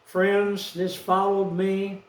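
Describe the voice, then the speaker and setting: A man, telling a rambling personal story in a slow, aggrieved, folksy way. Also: sits high, completely flat delivery, far from the mic. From Texas, USA. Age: 70s. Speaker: vlogger. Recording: echoey room, off-mic.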